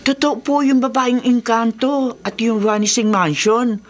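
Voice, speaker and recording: A woman's voice: on the high side, steady tone, a little slow, close to an everyday voice. A woman with a Filipino accent playing a deferential, superstitious housemaid in a radio drama. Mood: ominous, insistent. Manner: respectful, insistent storytelling. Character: superstitious, deferential neighborhood housemaid, a mother, earnest gossip.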